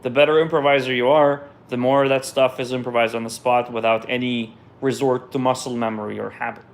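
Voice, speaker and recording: Relaxed man answering viewer questions. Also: mid-range voice, brisk. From Israel. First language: Russian. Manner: relaxed and conversational, trails off and restarts. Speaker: musician hosting a viewer Q&A. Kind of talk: casual live Q&A, reading out questions and answering.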